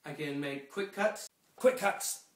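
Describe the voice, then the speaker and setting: A man's voice: a little high, slow pace, recorded from a distance. Young man, sniffly cold, chatty and rambling; echoey room, set back from the mic. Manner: rambling, chatty, many fillers and restarts. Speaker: pen pal video blogger. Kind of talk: casual video letter to a friend. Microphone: phone camera.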